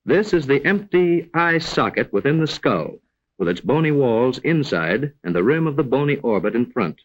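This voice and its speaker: Old 1940s science film guy narrating, formal and measured, teachy, voice moves up and down a lot. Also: medium pitch, big pitch swings, dull audio. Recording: vintage 1940s film soundtrack.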